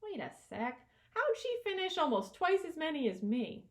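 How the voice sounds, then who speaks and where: A woman reading a middle grade novel aloud as its author, with animated, expressive delivery that acts out the narrator's reactions. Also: sits high, normal speed.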